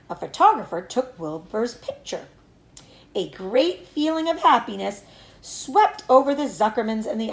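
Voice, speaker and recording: A woman reading a children's book aloud as a teacher, in an animated storytelling manner. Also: medium pitch, roomy sound, rough recording. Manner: animated storytelling with acted dialogue.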